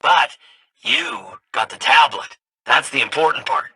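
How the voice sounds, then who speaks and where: A man playing an excitable, cheeky game sidekick and guide who talks casually and stammers when flustered. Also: mid-range voice, unhurried, a little hiss or hum, low-quality recording. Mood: cautious. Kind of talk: video game character dialogue.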